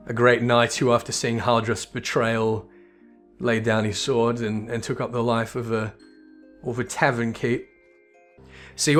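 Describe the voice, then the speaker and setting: A man giving casual, reflective commentary as he plays through a video game. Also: on the low side. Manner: reflective, musing. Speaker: gaming channel host.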